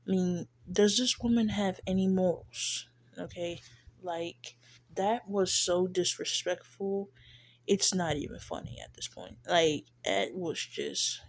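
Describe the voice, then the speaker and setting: A congested, stuffy-sounding speaker talks slowly through a reaction commentary. The delivery is shy and hesitant, full of fillers and restarts, at a middling pitch. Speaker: reaction video creator. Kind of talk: casual commentary on a played clip. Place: lives in Texas.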